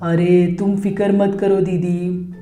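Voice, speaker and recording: A woman's voice: lowish voice, flat delivery, slow, mellow, reverberant, faint music behind, pitched below the performer's own voice. A woman voicing a sleepy, cowardly male genie of the lamp in a children's story, drawling and exclaiming, eager to placate. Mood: reassuring.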